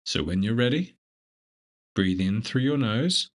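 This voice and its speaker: A man leading a scripted guided meditation in a gentle, measured, instructive manner. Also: medium pitch, animated.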